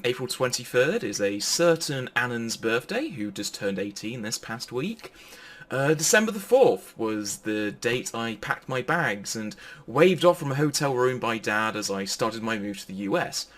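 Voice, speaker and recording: A man's voice: on the low side, a bit bright. A man giving an informal, pre-recorded sermon in a chatty, jokey manner. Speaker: preacher.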